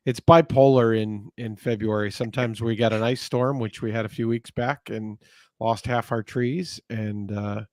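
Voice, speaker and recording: Laid-back male co-host from Texas, mid-pitched voice, dry recording. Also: steady tone, no echo. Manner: easygoing, halting with fillers. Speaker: podcast co-host. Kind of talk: casual chat.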